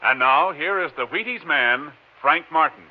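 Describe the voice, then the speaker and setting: A man announcing in the formal, measured style of 1950s network radio, reading scripted lines. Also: a little high, lively intonation. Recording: dull old broadcast, loud music.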